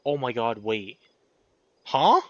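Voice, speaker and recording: A man's voice: mid-range voice, very animated, slow pace, a bit bright, no echo, highs cut off. A man giving casual, emotional gameplay commentary as a let's play host, effusive and exclamatory, drawing out his words over game music.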